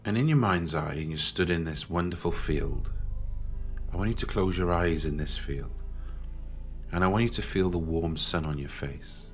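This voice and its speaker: A man leading a guided meditation in a slow, soothing manner with long pauses. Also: low voice. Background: background music.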